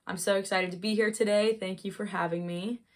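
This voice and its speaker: A woman of twenty-one talking casually from her childhood bedroom at her parents' house, introducing her solo set with quick fillers before playing. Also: medium pitch, steady tone. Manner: chatty and upbeat, quick with fillers. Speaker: singer-songwriter. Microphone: webcam at close range. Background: her own acoustic guitar.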